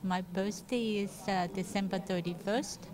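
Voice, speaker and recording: A woman's voice: medium pitch, steady tone, measured pace. A woman in her sixties from Germany, new to a senior center, introducing herself in a friendly, earnest way. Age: 60s. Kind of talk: self-introduction. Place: senior center activity room. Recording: crowd chatter behind.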